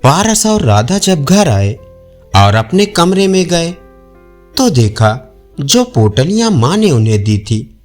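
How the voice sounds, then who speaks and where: A male storyteller reading a scripted folk tale. His delivery is unhurried, with a lively rise and fall in pitch. Music plays behind the narration.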